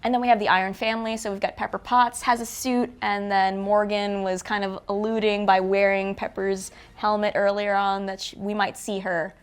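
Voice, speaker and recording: Woman, medium pitch, casual review chat, playful, expressive, show co-host. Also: normal speed, few pauses, clear, resonant tone.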